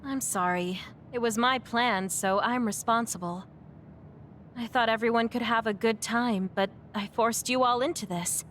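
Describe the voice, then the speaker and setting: A woman voicing a kind, earnest young woman in an anime-style game, gentle and sincere. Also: a little high, a little slow, slight background noise. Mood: apologetic. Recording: faint music behind the voice.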